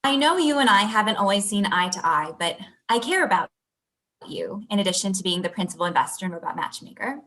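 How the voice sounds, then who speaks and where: A woman acts a patient businesswoman of thirty in a comedy. She is polite and speaks in an everyday, middle-pitched voice. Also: lively intonation, a little fast, a bit bright, lightly acted. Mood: earnest. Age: young adult. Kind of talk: table read of a comedy script. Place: indoor room at home, video call. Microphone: computer mic on video call.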